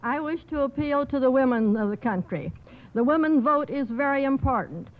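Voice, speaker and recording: A woman panelist on a radio quiz, speaking formally and haltingly as if making a political speech. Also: sits high, animated. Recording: tinny old radio broadcast.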